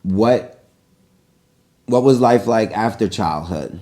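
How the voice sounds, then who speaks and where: Deep-voiced man interviewing casually. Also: animated, takes it slowly, mellow. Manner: friendly, teasing questions. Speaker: podcast co-host.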